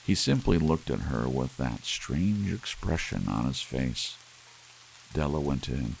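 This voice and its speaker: A man narrating an audiobook story in a soft ASMR bedtime style. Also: very deep voice, unhurried, gravelly, rough recording. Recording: audible hiss under steady rain sounds. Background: soft rain.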